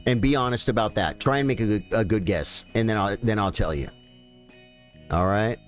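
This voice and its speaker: A man's voice: fairly low pitch, normal speed, soft background music. A man in his late fifties, a chess streamer chatting casually and folksily with his viewers. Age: 50s. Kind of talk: casual live-stream chat.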